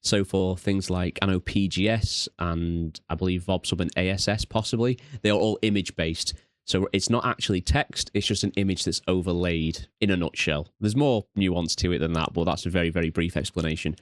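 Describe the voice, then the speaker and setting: A man with a British accent and a low-pitched voice guides viewers through a software setup. He is casual and self-deprecating, explaining as he goes. The sound is dry, with no room reflection. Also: steady tone, brisk, no echo. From United Kingdom. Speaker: tutorial host. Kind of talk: casual software walkthrough narration.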